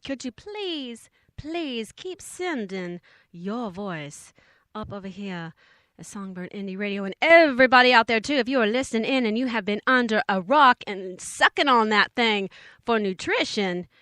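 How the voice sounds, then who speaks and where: A woman's voice: fairly high pitch, expressive, moderate pace, sharp tone, no echo. A woman from Texas, hosting an indie radio show in bubbly, slangy, rambling chatter. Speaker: radio host.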